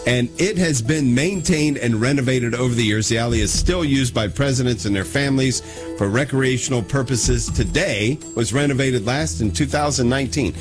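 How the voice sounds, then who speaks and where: Male radio quizmaster, mid-pitched, casual live banter with music underneath. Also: normal speed. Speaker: radio host running a trivia quiz. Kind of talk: casual live quiz-show chat.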